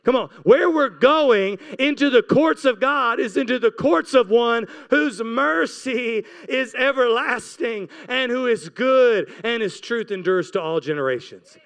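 A man's voice: very high-pitched, expressive, unhurried, few pauses, fairly bright tone, clear, resonant tone. A man in his forties, a pastor preaching with impassioned, repeated urging and scripture reading into a handheld mic. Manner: exhorting, repetitive urging. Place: church stage, indoors. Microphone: handheld microphone held close.

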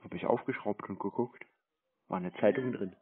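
A man on a casual prank call, answering a caller in a terse, deadpan, mocking way. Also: lowish voice, normal speed, husky, no echo. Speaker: prank-playing scambaiter. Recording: tinny narrowband phone call.

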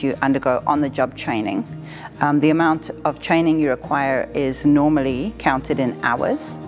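A woman in her forties from southern Africa, talking in a measured, matter-of-fact way as an air traffic controller being interviewed. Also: very deep voice, a little mellow. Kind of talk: explanatory interview talk. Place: indoor room with wood-panelled wall.

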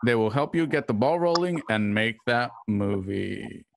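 A man's voice: mid-range voice, expressive, unhurried, vocal fry, no echo. Man reading a sponsor spot, dry sound. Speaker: sponsor announcer. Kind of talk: scripted ad read. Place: home room, video call. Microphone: headset and close mic.

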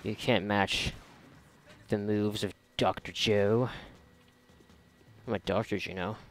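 A man giving casual, reactive commentary while gaming, sarcastic and foul-mouthed, with a gravelly voice. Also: lowish voice, steady tone, takes it slowly. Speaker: gamer recording a playthrough. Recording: noisy, game gunfire behind voice. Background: video game gunfire and ambience.

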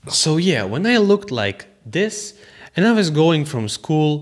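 A man's voice: fairly high pitch, slow. Young lithuanian dude ranting about cars into a desk mic in his bedroom, hands waving, english a bit broken. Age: young adult. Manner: animated, gestures a lot, slightly broken English. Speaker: car vlogger. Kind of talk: casual enthusiastic chat to camera. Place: bedroom, indoors. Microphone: studio mic on a stand.

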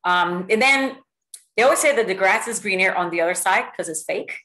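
A middle-aged woman from Puerto Rico giving a lively, motivational talk to her sales team over a video call, full of stories and fillers. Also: mid-range voice, brisk, poor audio. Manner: lively, animated storytelling with many fillers. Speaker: sales executive speaking to a team. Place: home room with bookshelves. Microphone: video call webcam.

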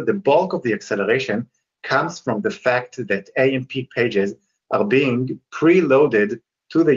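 A man with an Israeli accent talks through a webinar, his voice sitting low and coming through dull and lo-fi. He explains steadily and methodically, pausing now and then to find his words. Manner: explanatory, steady, slightly halting. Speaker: guest presenter, company co-founder. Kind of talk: webinar presentation. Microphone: video call.

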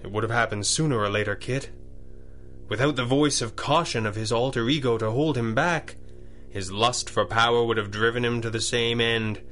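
An actor playing a resolute, wry masked superhero with crisp authority in a radio drama. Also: male voice, medium pitch, moderate pace, a bit bright, faint music behind, close to an everyday voice. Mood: grave. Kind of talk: 1930s-style radio drama acting.

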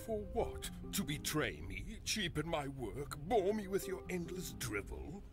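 A man's voice: fairly high pitch, sing-song, measured pace. A man playing a jealous, bitter writer in a comic audio drama, scornful and theatrical. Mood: bitter, cold. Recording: loud music underneath.

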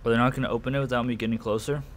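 A man's voice: medium pitch, fairly even intonation, very fast, a little hiss or hum. A man giving casual, slangy commentary as he plays a video game, grumbling in exasperation. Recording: game gunfire behind the voice. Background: shooter game gunfire and military chatter.

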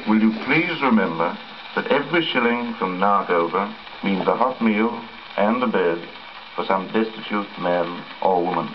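Dry formal announcer on an old record. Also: male voice, lowish voice, lively intonation, normal speed. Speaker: announcer and accompanist. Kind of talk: 1930s record introduction. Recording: dull crackly old 78 record.

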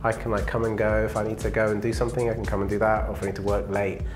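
A man in his thirties from England, answering interview questions in a relaxed, easygoing way with plenty of fillers, on a lapel mic in an indoor lounge. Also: on the low side, rapid-fire, music in the background. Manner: relaxed, laughs easily, many fillers. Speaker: interview guest, engineering manager.

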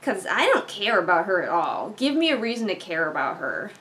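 A woman's voice: mid-range voice, sing-song, fairly quick, a bit bright, poor audio. A young American woman giving casual book commentary in a rambling, bluntly opinionated way, talking as a vlogger in a living room. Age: young adult. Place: living room couch, indoors. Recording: background noise in a living room.

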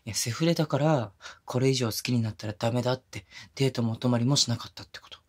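A man's voice: medium pitch, fast, close to an everyday voice. A man playing a smitten, apologetic young boyfriend in an intimate ASMR voice drama, speaking casually and tenderly. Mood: earnest, relieved.

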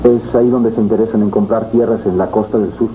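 An actor playing a courteous, halting middle-aged landowner in a 1970s telenovela. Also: male voice, fairly low pitch, fairly even intonation, lightly acted. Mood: hesitant, hopeful. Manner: halting, polite. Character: hesitant, courteous male landowner in debt. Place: indoor studio set. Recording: muffled, noisy old TV tape.

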